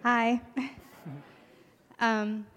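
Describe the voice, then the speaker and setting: A woman's voice: on the high side, little pitch movement, very slow, a bit bright. A woman in her forties, from California, casually introducing her family in a friendly, chatty way. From California, USA. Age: 40s. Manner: friendly, chatty, with light laughs. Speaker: pastor's wife candidate. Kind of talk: casual personal sharing. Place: church hall. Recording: reverberant hall audio.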